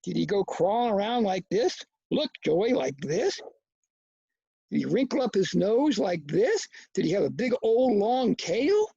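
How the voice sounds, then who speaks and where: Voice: medium pitch, sing-song, unhurried, vocal fry, warm tone, husky, no echo, highs cut off, heavily acted. A reader voicing a cocky, combative young boy from a novel, with brash, slangy, goading talk. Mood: mocking.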